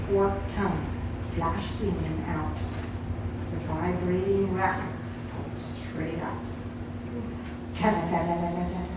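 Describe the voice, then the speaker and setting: A woman reading her own short story at a live event, with deliberate, dramatic storytelling delivery. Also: fairly low pitch. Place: indoor awards night event. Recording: echoey room, distant mic, noisy background.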